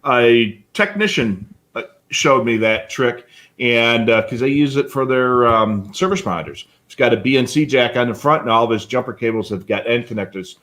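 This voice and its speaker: A man's voice: mid-range voice, moderate pace, fairly bright tone. A man co-hosting a live hobby show, casually explaining technical details in a plainspoken, practical way. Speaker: show host.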